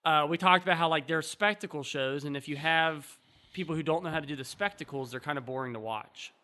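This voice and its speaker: Dude on a movie podcast, bright punchy voice, kinda higher pitched, just casually chatting. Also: male voice, fast talker. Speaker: podcast co-host. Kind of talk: casual film podcast chat.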